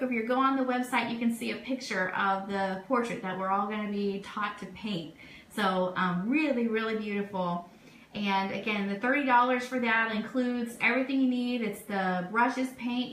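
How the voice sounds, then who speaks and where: A woman hosts a casual radio show in a fairly high voice. She is chatty and enthusiastic, giving upbeat, informal announcements of events. The recording is quite echoey, with some background noise. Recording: roomy echo, steady background noise.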